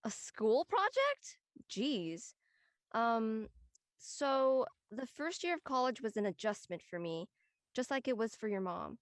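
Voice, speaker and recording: A woman's voice: a little high, big pitch swings, slow pace, no echo, studio quality. A woman playing an easygoing adult aunt in a naturalistic live script reading. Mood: hesitant, reflective. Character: easygoing adult aunt recalling her college years. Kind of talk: naturalistic live table-read acting.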